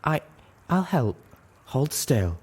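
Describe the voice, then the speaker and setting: A man's voice: mid-range voice, very animated, takes it slowly, darkish tone, husky, voice pushed up, heavily acted. A genteel female healer pony, voiced by a man, refined and caring. She chides as she speaks, in the style of a storybook character. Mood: faint, caring.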